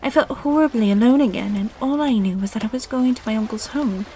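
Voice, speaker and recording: A woman's voice: fairly high pitch, fairly quick, mellow, a little hiss or hum, close to an everyday voice, low-quality recording. An actress voicing a lonely, sullen young girl who narrates her own story in an audio drama. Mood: wistful. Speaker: narrator and actor.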